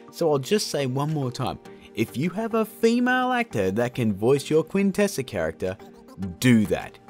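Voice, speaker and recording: A man's voice: mid-range voice, a little mellow. A man in his thirties hosting a video tutorial in a casual, jokey style. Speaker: host of a video tutorial show. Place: small indoor studio room. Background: faint music.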